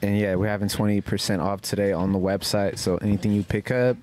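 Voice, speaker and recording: A man with a fairly low voice speaks as a podcast co-host. His delivery is informal and slangy, full of affirming phrases. The recording is outdoors, with faint noise in the background. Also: little pitch movement, fast, darkish tone. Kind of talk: casual chat, informal shout-outs. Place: outdoor backyard.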